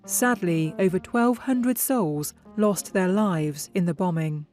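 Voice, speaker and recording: Mellow, solemn woman narrator. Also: fairly low pitch. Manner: solemn, measured. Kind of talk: scripted historical narration. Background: faint music.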